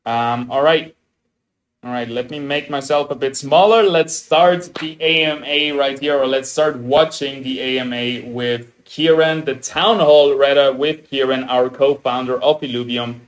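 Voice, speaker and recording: A young man with an Austrian German accent, chatting excitedly to his viewers as a game streamer. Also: mid-range voice, measured pace. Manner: excitable, upbeat, chatty with restarts. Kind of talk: casual livestream chat, greeting viewers.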